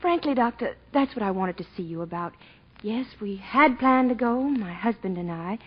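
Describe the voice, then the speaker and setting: An actress playing a sweet-seeming, possessive and scheming young wife in a 1940s radio drama. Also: female voice, medium pitch, expressive, moderate pace, husky. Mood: pleading, agitated. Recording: dull, band-limited 1940s radio recording.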